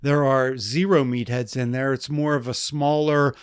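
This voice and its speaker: A man's voice: mid-range voice, fairly even intonation, moderate pace, clear, resonant tone, dry recording, studio quality. A man co-hosting a casual podcast chat, laughing often and stopping to search for words. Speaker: podcast co-host.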